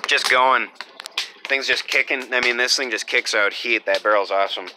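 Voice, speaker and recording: Young male homesteader, casual vlog rambling, even-toned, noisy camera audio. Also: mid-range voice, normal speed. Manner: rambling, lots of fillers, self-described monotone. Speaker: homesteader vlogger. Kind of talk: casual off-grid build vlog. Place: half-built earthship and outdoor rooftop.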